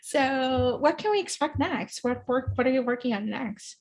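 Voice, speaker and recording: A woman's voice: high voice, normal speed, creaky. A woman hosting a casual interview show, speaking in an effusive, informal way. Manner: effusive, chuckles between phrases. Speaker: podcast host.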